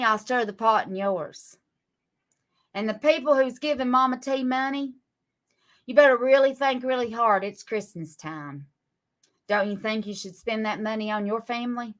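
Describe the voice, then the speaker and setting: A woman's voice: a little high, sharp tone. A woman, scolding and mocking her critics on a live stream in a sarcastic drawl. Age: 40s. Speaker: livestream guest.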